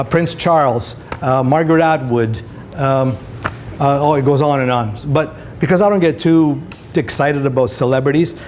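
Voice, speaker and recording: A middle-aged man, born in Greece with Greek as his first language, talks to students in a conversational, anecdotal way. His voice sits a little high for a man, and he often checks in with short tag questions. A faint room noise runs behind him. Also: a little mellow. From Greece, moved to Canada at twelve. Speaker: photographer, career day speaker. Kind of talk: informal motivational talk to students. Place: school classroom, indoors. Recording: noisy room with background hiss.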